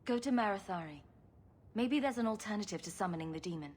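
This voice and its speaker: Wry, animated adventurer heroine. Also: female voice, mid-range voice, fast, slightly rough. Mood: firm, probing. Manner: wry, direct, questioning. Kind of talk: dramatic video game acting.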